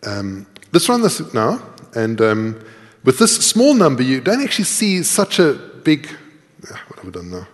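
A man's voice: mid-range voice, wildly swooping pitch, moderate pace. Man lecturing in a reverberant hall. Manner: explanatory, thinking aloud while typing. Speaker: conference speaker. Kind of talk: live coding technical lecture. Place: large tiered lecture hall.

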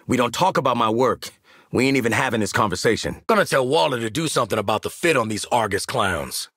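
Game casting: an irritable, cocky gun-for-hire, sardonic and punchy, highly animated pitch, husky tone. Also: male voice, fast talker, no echo, clean studio sound. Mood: sardonic, irritated. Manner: slangy, sardonic, punchy delivery. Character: cocky, irritable male mercenary sharpshooter, sardonic squad member. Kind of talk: video game character voice lines.

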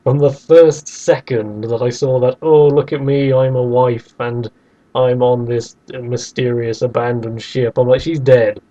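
A man's voice: mid-range voice, steady tone, a little slow, mellow, dull audio. A man co-hosting a podcast, debating casually in a wry, sceptical way. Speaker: podcast co-host.

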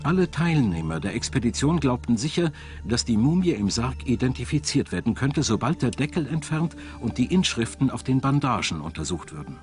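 A man's voice: mid-range voice, big pitch swings, brisk, few pauses, a little mellow. Guy doing documentary voiceover, serious storyteller, lots of rise and fall in the voice, music playing under him. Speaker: documentary narrator. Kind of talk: scripted documentary narration in German. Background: background music.

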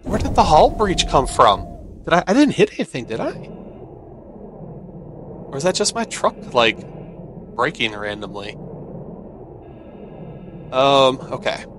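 A man's voice: medium pitch, sing-song, a little slow, long pauses, fairly bright tone, a little hiss or hum. A man giving casual gameplay commentary, thinking aloud and asking himself questions as he plays. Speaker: gamer playing and commenting on a video game.

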